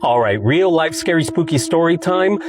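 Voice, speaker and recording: A man's voice: fairly high pitch, lively intonation, moderate pace, over music. A man with a congested, nasal voice casually telling a real-life spooky story and explaining details along the way.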